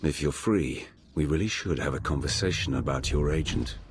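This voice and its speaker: A man's voice: extremely low pitch, normal speed, slight background noise. An actor playing a cool, menacing male game hero who speaks tersely with a dry, teasing streak. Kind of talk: video game cutscene acting.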